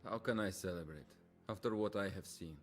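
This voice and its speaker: An actor playing a brooding, disillusioned Soviet soldier turned war writer in a dramatic video game cutscene. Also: male voice, low voice, a little fast, faint music behind, natural voice. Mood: haunted. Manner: brooding, reflective.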